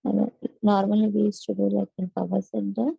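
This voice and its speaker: A female student with a heavily creaky, gravelly voice puts questions to her teacher. She phrases them hesitantly. The sound is dull and lo-fi, with no room echo. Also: medium pitch, level tone, brisk, mellow. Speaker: student in online class. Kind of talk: asking questions in a lesson.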